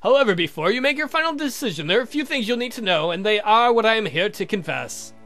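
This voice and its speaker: A high-pitched gentleman vampire played by a man from a gothic game. He speaks in long, formal sentences, earnest and smitten, over clear background music. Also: expressive, fast, heavily acted. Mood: earnest confessing. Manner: formal, verbose, confessing. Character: courtly lovestruck male vampire count, formal and earnest.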